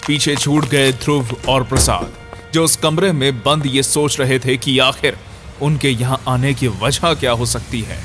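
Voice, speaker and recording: Guy telling a scary audio story, dramatic, mid pitch, music going behind him. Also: fairly even intonation, measured pace. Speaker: narrator. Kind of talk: scripted horror audio story narration. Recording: music under the voice. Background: background music.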